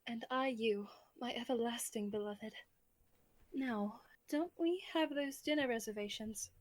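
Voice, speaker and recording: A woman playing a gentle, devoted bride in a romantic audio drama, genteel, tender and politely formal. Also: a little high, a little slow. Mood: affectionate.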